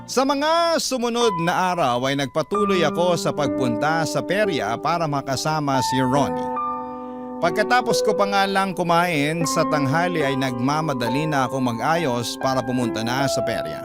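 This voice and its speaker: A man's voice: a little high, expressive, moderate pace. Heartfelt male storyteller over guitar. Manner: heartfelt, addressing listeners directly. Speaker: radio drama host and narrator. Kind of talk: scripted first-person letter narration. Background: guitar music.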